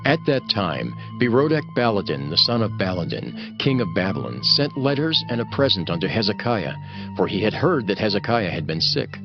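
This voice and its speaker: A man's voice: lowish voice, very animated, normal speed. A man narrating scripture from a script in a solemn, dramatic storytelling style. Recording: dull sound under loud music. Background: music.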